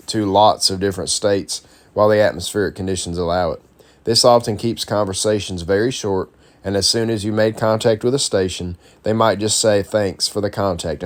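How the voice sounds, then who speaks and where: A man presenting an instructional guide, reading a script aloud in an informal, explanatory way. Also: fairly low pitch. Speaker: presenter of a CB radio how-to guide.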